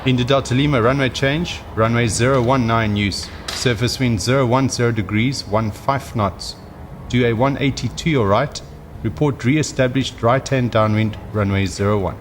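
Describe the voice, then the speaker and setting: A man's voice: medium pitch, normal speed, natural voice. Man playing tower controller over noisy background. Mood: procedural. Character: male airport tower controller issuing clearances. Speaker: air traffic controller in practice exercises. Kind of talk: scripted radio call practice. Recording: clear vehicle-like background noise.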